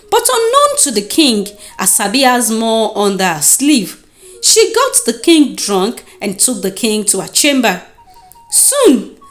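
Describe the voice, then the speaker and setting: Nigerian lady storyteller, dramatic folktale narration, highish animated voice, music behind.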